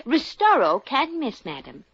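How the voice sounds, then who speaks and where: An actress playing a brash, wisecracking young working woman with quick sales patter in a 1950s radio comedy. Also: sits high, wildly swooping pitch, slightly rough, exaggerated voice. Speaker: lead character. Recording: dull lo-fi old radio broadcast.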